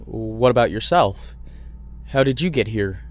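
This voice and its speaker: A man's voice: fairly low pitch, expressive, measured pace. An actor playing a flustered, hesitant, polite everyman customer in a comedy audio drama. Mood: puzzled. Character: flustered, bewildered everyman insurance customer named Ed.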